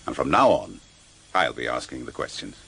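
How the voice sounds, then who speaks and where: An officious, commanding detective in a radio thriller. His voice is extremely low and rough with creak, swinging widely as he takes charge. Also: very animated, normal speed, fairly bright tone, heavily acted. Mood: stern. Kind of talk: radio drama acting.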